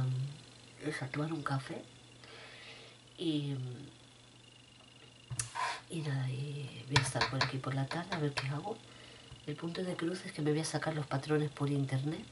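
A middle-aged woman with a cold and a rough throat, chatting casually to her viewers from a room at home, repeating herself as she talks. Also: deep voice, a little hiss or hum. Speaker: vlogger. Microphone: webcam at desk.

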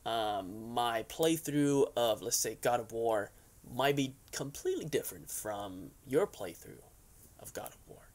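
A man's voice: mid-range voice, expressive, a little slow. A young man talking in a casual, rambling opinion vlog, tagging his points with little check-in questions. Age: young adult. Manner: conversational, rambling, frequent "right?" and "you know" tags. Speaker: YouTube host. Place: furnished basement room, indoors.

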